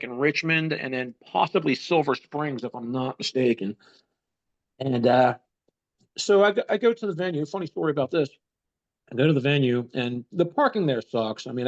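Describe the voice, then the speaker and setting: A man's voice: medium pitch, muffled recording. A man hosting a podcast, chatting casually with a congested, stuffy voice and telling stories full of ums and you knows. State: congested, getting over a cold. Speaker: podcast host. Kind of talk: casual chat.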